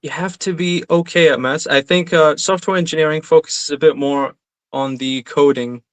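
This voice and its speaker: A man's voice: on the high side, steady tone, normal speed. A young man from Iraq, a recent graduate, answering interview questions in a casual, hesitant way with many fillers. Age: young adult.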